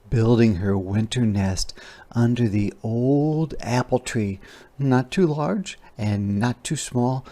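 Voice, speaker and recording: A man reads aloud to young children at a slow pace, with some fry in his voice. He stretches important words and breaks off to explain them or put questions to his listeners. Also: mid-range voice, mellow. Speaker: teacher reading aloud. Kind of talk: interactive children's read-aloud.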